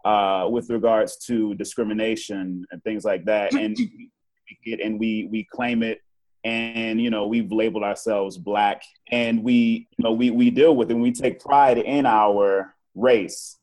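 A man from Virginia talking as a podcast guest in a relaxed, joking way, often asking "right?". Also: lowish voice, measured pace, low-quality recording. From Virginia, United States. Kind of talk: casual discussion.

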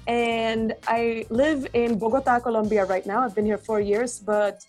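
A woman's voice: fairly high pitch, level tone, measured pace, a little mellow, soft background music. A woman who grew up in Finland and Ohio, speaking as an English teacher on a podcast, modest and careful, trailing off at times. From Finland, raised partly in Ohio.

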